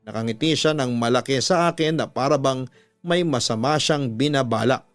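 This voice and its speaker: A Filipino man tells a story as a radio host, with music playing underneath. His voice is mid-pitched and close in a dry studio. He narrates in a measured, deliberate way, stretching the ends of his phrases. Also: dry recording. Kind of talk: scripted narration of a listener's horror story.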